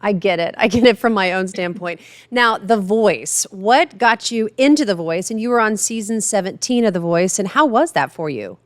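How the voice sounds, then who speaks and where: Smooth-voiced middle-aged woman interviewer. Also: mid-range voice, lively intonation, moderate pace, hardly any pauses, no echo. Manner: encouraging, complimentary. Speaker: TV news interviewer. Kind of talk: friendly interview questions.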